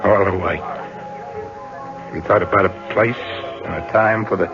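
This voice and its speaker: A man's voice: low voice, steady tone, measured pace. An actor playing a bitter, wronged businessman, terse, dry and grimly resolved, in 1970s radio drama style. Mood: wary, dry. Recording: dull 1970s radio recording, music behind.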